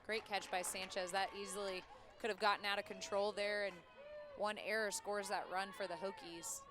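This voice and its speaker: A woman working as a color commentator, giving live softball analysis in a conversational, explanatory way. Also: medium pitch, little pitch movement, moderate pace, noisy background. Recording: music and crowd behind, outdoors. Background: music, ballpark crowd.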